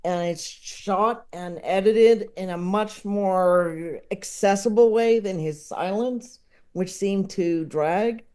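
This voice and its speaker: A man with a soft-edged, mellow voice talks casually as an interview guest. He goes slowly and haltingly, wandering through his thoughts in a rambling way. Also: high-pitched, clear, resonant tone. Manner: halting, rambling, with chuckling asides. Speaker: podcast guest, comedy enthusiast.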